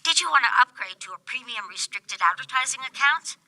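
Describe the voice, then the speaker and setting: Woman as Wanda, bright, unflappable call-centre operator. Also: high voice, lively intonation, fairly quick, dry recording, exaggerated voice, poor audio. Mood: obliviously helpful. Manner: polite, unflappable customer-service patter. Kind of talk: radio play comedy acting.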